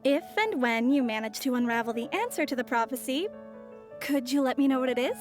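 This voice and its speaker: An actress playing a poised, mysterious foreign woman traveler in a video game, soft-spoken and courteous. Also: high-pitched, animated, brisk, faint music behind.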